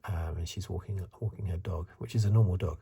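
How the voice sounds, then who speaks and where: Man, ASMR reviewer, soft half-whisper, very breathy, deep and mellow, hesitant musing delivery. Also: steady tone, fairly quick. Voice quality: breathy, hushed. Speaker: host of a film review video. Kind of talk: soft-spoken ASMR commentary, partly whispered.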